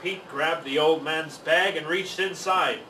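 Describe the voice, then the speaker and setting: A man reading a children's story aloud in expressive storybook narration. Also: on the high side, measured pace. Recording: roomy, distant mic, faint noise.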